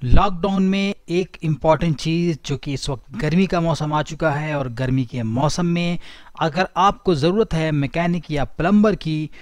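Guy doing a screen-recording voice-over, reads a bit then explains it again, voice a little high. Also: moderate pace, a little mellow, dry recording. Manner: reads aloud then rephrases in plain words. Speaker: tech channel host. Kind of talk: reading news text with added explanations.

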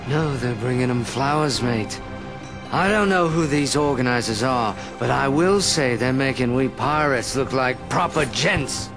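An actor voicing a sly, swaggering pirate captain for a video game, with a slurred, wry drawl. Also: male voice, medium pitch, measured pace, close to an everyday voice. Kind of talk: video game cutscene acting. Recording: game music underneath.

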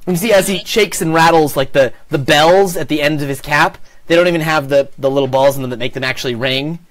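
A man running a tabletop game as game master, describing the scene in a measured way on a video call. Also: fairly high pitch, a bit bright. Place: home room on a video call.

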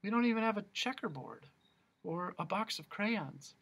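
A man voicing a timid, polite young boy who speaks softly and hesitantly. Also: high-pitched, animated, moderate pace, creaky, slightly rough, pitched above the performer's own voice, full character voice. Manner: soft and hesitant.

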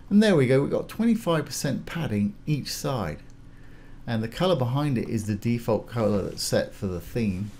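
Guy with a relaxed dark voice walking thru software steps, some background noise and typing. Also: medium pitch, normal speed, gravelly. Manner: informal, spells out terms, talks through each action. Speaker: tutorial host. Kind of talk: casual step-by-step software tutorial.

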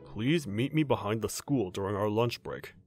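A man's voice: fairly low pitch, expressive, moderate pace, darkish tone, faint music behind, lightly acted. Man playing a flustered, earnest teenage schoolboy. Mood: musing. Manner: earnest, stammering when flustered. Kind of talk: manga dub voice acting, scripted.

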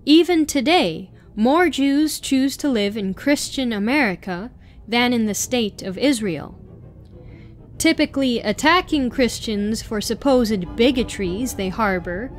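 A woman reading an article aloud in a measured, deliberate way with pauses. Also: fairly high pitch, expressive, faint music behind. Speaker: reader.

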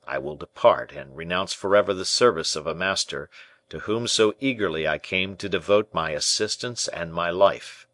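A man reading a storybook part as a courtly, devoted conspirator, formal, deferential and persuasive in measured rhetoric. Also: sits low, bright, forceful tone, no room sound. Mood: firm, devoted.

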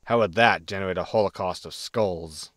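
An actor playing a blunt, impatient spaceship captain in a sci-fi comedy audio drama, talking casually. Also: male voice, low voice, normal speed, fairly bright tone, no room sound, clean studio sound. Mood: laid-back.

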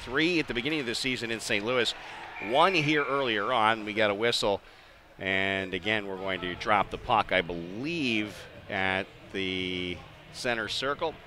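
A man's voice: fairly low pitch, measured pace. A man with an American accent calling live ice hockey play-by-play from an arena in a conversational, chatty way. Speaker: play-by-play sports announcer. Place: rink-side in an indoor ice arena. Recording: clear arena ambience noise behind the voice.

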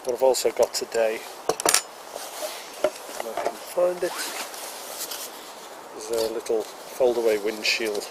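A man's voice: fairly low pitch, expressive, takes it slowly, pauses often. A British man in his forties casually explaining outdoor camp cooking step by step, recorded outdoors in woodland on a camera mic. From United Kingdom. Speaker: outdoor vlogger. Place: woodland picnic table outdoors. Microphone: camera mic, handheld then on a tripod. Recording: outdoor camera audio with clear background noise. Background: open outdoor air in woodland.

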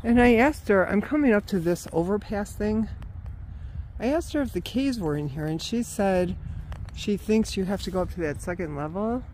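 A woman's voice: medium pitch, mellow, a little hiss or hum. Breathless chatty woman on a trail. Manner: chatty, exclaims often, laughs. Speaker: vlogger. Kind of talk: casual walking narration. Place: outdoor wooded park trail with caves.

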